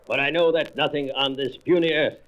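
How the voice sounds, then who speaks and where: A man's voice: on the high side, steady tone, a bit bright, lightly acted. A man playing an arrogant, commanding alien invader made of stone in a comic-book audio drama, with pompous, boastful delivery. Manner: slow, pompous, boastful declamation. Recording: faintly noisy old record.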